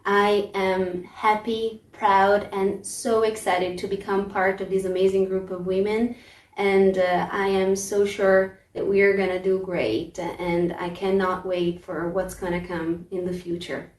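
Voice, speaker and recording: A woman's voice: mid-range voice, level tone, a little slow. A woman, giving an upbeat, eager self-introduction. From Italy. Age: 20s. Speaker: club member. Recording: echoey room, distant mic.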